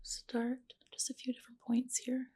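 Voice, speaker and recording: Whispery, breathy woman in ASMR roleplay. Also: fairly high pitch, completely flat delivery, normal speed. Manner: gentle, reassuring client-care talk. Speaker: ASMR creator playing a medical spa practitioner. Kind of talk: ASMR roleplay, personal attention. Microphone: close ASMR microphone.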